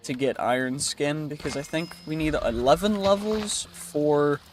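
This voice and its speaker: A man's voice: a little high, a little slow, a little hiss or hum. A young man commentating his own gameplay while live streaming. He sounds congested from a cold and talks in an easygoing way, thinking aloud and reassuring himself.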